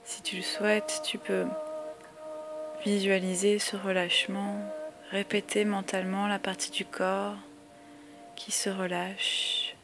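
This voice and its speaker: A woman guiding a yoga relaxation meditation with calm, soothing, measured instructions and long pauses. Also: medium pitch. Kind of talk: guided meditation, slow spoken body-scan instructions. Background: music.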